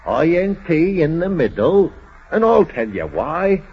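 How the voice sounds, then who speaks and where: A quaint, fussy fellow fusses slowly over his spilled tea. His voice leaps up and down with every phrase. The sound is dull and lo-fi, like an old radio play. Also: a little high, sing-song. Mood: chatty. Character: fussy old-fashioned little man disturbed at tea. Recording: dull old radio recording with faint hiss.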